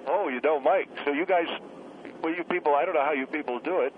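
A man's voice: on the high side, fast, lightly acted. A man acts out an irascible male scientist calling a comedy radio show. He harangues and belittles in a condescending way. The line is thin and telephone-like, with faint noise. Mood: exasperated ranting. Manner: haranguing, insulting, goading. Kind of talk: comic radio phone-in. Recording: tinny, noisy phone line.

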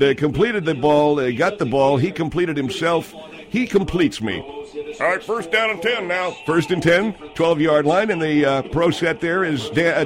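Rambling high-voiced football announcer. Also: male voice. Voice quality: rambling, full of fillers and digressions. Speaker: sports announcer. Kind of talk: comedic football play-by-play.

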